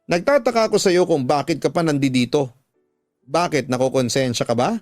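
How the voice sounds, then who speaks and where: A betrayed wife in a radio drama, acted by a man, bitter and wounded, pressing accusations with heavy emphasis. The pitch sits fairly high, the sound is dry, and music plays underneath. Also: fairly quick, no echo, lightly acted. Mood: restrained, bitter. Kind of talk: Tagalog radio drama acting.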